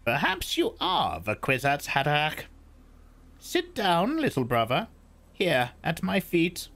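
Stern old woman, probing and scornful, slow and lifted high; male narrator doing the voice. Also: huge pitch swings, gravelly, fairly bright tone, full character voice. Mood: commanding. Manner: imperious, clipped, probing. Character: stern old woman, commanding Bene Gesserit elder.

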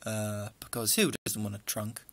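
A man's voice: on the low side, fairly even intonation, normal speed, fairly bright tone. A young man with a northern English accent, chatting casually through a list of questions into a close webcam in a small room, rambling with dry asides. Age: young adult. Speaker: vlogger.

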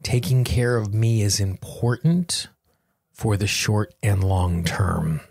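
A man's voice: lowish voice, takes it slowly, vocal fry, darkish tone, dry recording, clean studio sound. A man hosting a self-help podcast, speaking in an earnest, encouraging manner. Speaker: podcast host. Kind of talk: guided self-help tapping affirmations and podcast talk.

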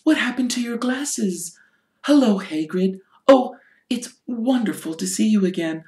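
A man voicing an eager, brainy schoolgirl who chatters quickly and brightly, read in an echoey room. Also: sits high, expressive, voice pushed up.

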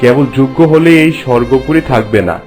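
A man's voice: mid-range voice, fairly quick, close to an everyday voice. Formal man as a royal courtier, music behind. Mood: respectful, solemn. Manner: formal, respectful, explanatory. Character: formal, reverent male royal courtier explaining to his queen. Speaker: storytelling courtier. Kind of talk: scripted cartoon dialogue in formal narration. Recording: music bed under the voice.

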